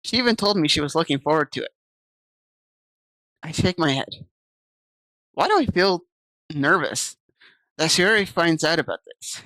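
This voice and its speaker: A woman's voice: sits low, animated, normal speed, pauses often, fairly bright tone, no echo, rough recording. Woman streamer reading a game aloud. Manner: chatty, reads game text aloud and answers chat. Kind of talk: casual stream, reading a visual novel aloud.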